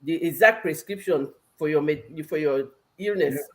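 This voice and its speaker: A woman's voice: sits low, normal speed. A woman from Cameroon with a Cameroonian accent, explaining conversationally as a talk show guest, with emphatic repetition.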